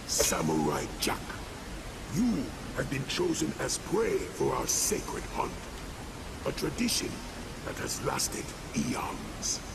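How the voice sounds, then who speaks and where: Game role: noble lion-like hunter, solemn, slow delivery with sweeping swings in pitch. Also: male voice, wildly swooping pitch, vocal fry, heavy background noise, full character voice. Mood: solemn, commanding. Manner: stately, ceremonious, deliberate. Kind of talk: video game cutscene acting.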